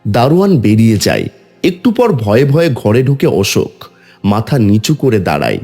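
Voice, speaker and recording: A man's voice: lowish voice, big pitch swings, normal speed, creaky, warm tone, soft background music. A man delivering scripted dramatic narration as the narrator of a tale.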